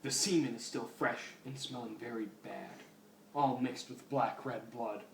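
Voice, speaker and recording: A man's voice: mid-range voice, a little slow, gravelly, slight background noise. A man giving a mock-serious, theatrical dramatic reading of fan fiction. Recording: roomy, distant mic under loud music.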